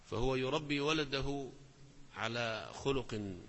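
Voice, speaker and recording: Man slowly explaining verse in a lesson. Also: medium pitch, a bit bright, in a large hall, a little hiss or hum. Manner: slow, deliberate recitation of verses with rising emphasis. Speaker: teacher explaining poetry.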